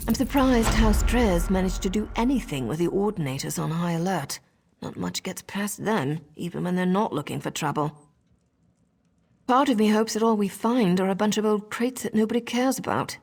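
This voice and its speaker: A woman's voice: mid-range voice, creaky, a little mellow, husky, big-room sound. An actress voicing a wry, roguish adventurer woman with a sordid past in a video game, sly, teasing and conversational.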